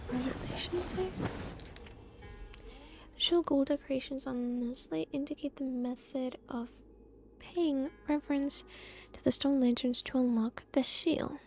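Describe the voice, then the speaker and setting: A woman's voice: high voice, steady tone, unhurried, long pauses, a little mellow, slight background noise. A woman streaming a game with casual commentary, murmuring short reactions and playful interjections to herself. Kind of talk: casual live gameplay commentary. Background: game music.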